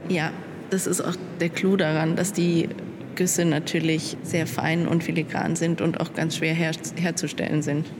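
Woman artist, lowish voice, calm explaining, echoing hall with noise. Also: moderate pace. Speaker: art graduate presenting her work. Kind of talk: explaining her artwork. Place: exhibition room indoors. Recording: long reverb with audible background noise.

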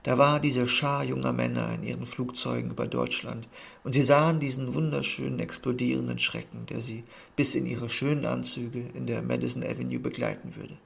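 A man reading a literary text aloud in a measured, earnest way. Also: medium pitch, flat delivery, vocal fry, husky. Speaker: narrator.

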